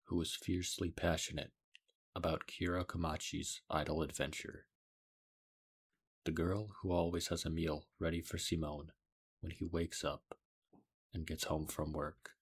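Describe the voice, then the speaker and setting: A man reading a scripted story recap as a narrator, in a deadpan, somber way. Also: deep voice, measured pace, long pauses, breathy edge. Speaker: video essay narrator.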